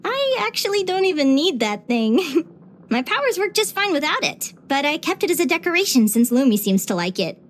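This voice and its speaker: Game role: bubbly young naturalist adventurer girl, high-pitched, expressive, curious and sweet. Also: moderate pace, slight background noise. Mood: warm, excited. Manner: expressive, chirpy, with sighs and giggles. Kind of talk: scripted video game character voice lines.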